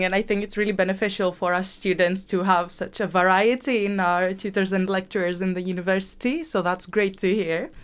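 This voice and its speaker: Clear-voiced young woman hosting a podcast. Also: medium pitch. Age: young adult. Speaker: podcast host. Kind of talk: prepared interview hosting. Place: studio.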